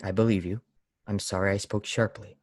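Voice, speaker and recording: A man playing a strange, pious and weary lodger in a radio play, solemn, deliberate and brusque. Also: on the low side, level tone, dry recording, studio quality, close to an everyday voice. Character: strange, weary, severe pious lodger, brooding and easily angered. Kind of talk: old-time radio play acting.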